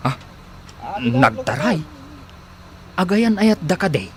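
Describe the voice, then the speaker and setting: A man's voice: fairly high pitch, big pitch swings, takes it slowly, a little hiss or hum. A man acting in a radio drama as a respectful, friendly aide who runs detective errands. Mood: friendly, inquiring. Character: deferential male aide doing detective errands, friendly when asking around.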